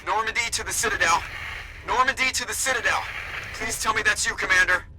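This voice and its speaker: Eager military space pilot, high register, earnest radio calls under prominent game score. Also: male voice, fairly quick, pushed and bright, faint music behind, low-quality recording. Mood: urgent. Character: earnest male starship pilot, urgent and loyal. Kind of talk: video game radio dialogue.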